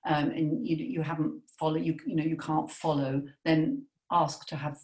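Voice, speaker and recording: A fairly low-pitched woman talking in a casual podcast chat. She speaks emphatically and keeps checking in with her partner. The room gives her voice a noticeable echo. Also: steady tone, normal speed, darkish tone. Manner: emphatic, frequent "you know" and "right?". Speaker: language coach.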